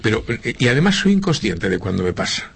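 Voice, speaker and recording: A man's voice: on the low side, very animated, fairly quick, vocal fry, a bit bright, slightly rough. A middle-aged man from Spain with a slight Argentine lilt, answering interview questions earnestly with many hesitations and repeats. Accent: Spanish, with a slight Argentine lilt. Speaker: local politician interviewee. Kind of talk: interview conversation.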